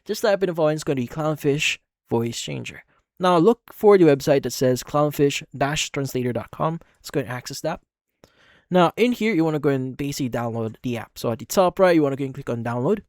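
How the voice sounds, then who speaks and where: A man's voice: fairly high pitch, lively intonation, a little fast, a little mellow, no room sound. A man narrates a computer how-to as its host. He gives instructions one step at a time, with repeated phrasing. The sound is close and dry. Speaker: tutorial host. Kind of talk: screen-recorded software tutorial narration.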